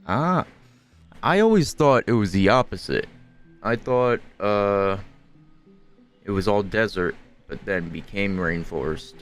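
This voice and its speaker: A man's voice: lowish voice, animated, slow pace, long pauses. A man doing casual gameplay commentary as a streamer, hesitant and thinking aloud. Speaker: video game streamer. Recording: faint game music behind.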